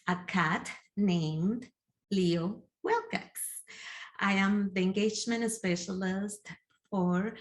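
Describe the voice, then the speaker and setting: Slow, deliberate Colombian woman presenter. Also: mid-range voice. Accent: Colombian Spanish. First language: Spanish. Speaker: engagement specialist. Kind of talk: recorded video presentation. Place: living room at home.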